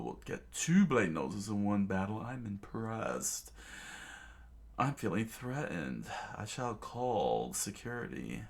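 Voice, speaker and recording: A man's voice: on the low side, vocal fry, pitched above the performer's own voice, full character voice. A man voicing a pompous, boastful male pirate brute who speaks in a self-important way.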